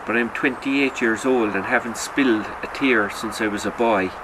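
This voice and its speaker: A man narrates literary fiction as an author would at a reading. His voice sits fairly low, and his delivery is sombre and measured. Clear background noise, like outdoor ambience, runs behind him. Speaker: author reading own short story.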